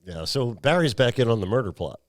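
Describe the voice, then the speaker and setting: A man's voice: medium pitch, very animated, brisk. A man hosting a show, recapping a film in a casual, chatty style. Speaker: podcast host.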